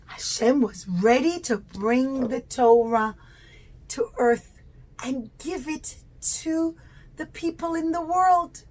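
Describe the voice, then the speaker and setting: A woman storyteller narrates a puppet show for young children. Her voice is high, and she speaks slowly and deliberately in simple, repeated phrases. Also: darkish tone, low-quality recording.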